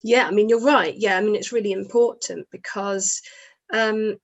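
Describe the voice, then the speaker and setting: A woman giving voice to a deaf interviewee, speaking in reflective, measured phrases. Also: mid-range voice, fairly even intonation.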